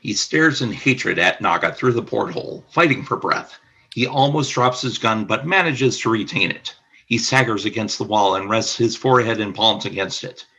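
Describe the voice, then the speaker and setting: A man narrating a scripted radio play as storyteller, with a husky voice. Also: on the low side, normal speed, pushed and bright, low-quality recording.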